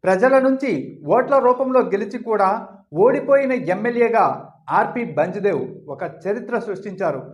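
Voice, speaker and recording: A man with a strikingly high voice presents scripted political commentary. He speaks emphatically, framing his points as pointed rhetorical questions, like the host of an online channel.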